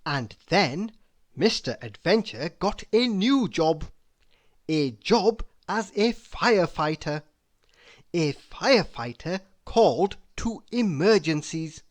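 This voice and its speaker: This is a storyteller reading a children's book aloud. The pace is slow and deliberate, and the pitch swings widely from phrase to phrase. Key words are stretched out for emphasis. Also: animated. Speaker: storybook reader.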